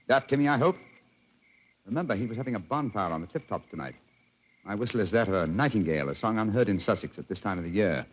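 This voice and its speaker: An actor playing a clever, commanding British gentleman detective, crisp and urgent, in a 1940s style radio play. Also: fairly low pitch, animated, fast. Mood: calm, confident. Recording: faintly noisy vintage radio recording.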